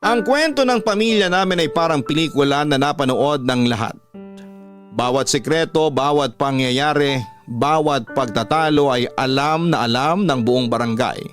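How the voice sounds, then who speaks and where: A man with a Filipino accent narrating a first-person story in a measured way as a radio drama host. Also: fairly high pitch. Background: music.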